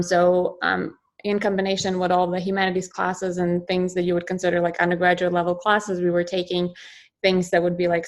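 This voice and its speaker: A woman in her thirties, from Ukraine, giving casual interview answers as a guest surgeon, chatty and animated with many fillers. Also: fairly low pitch.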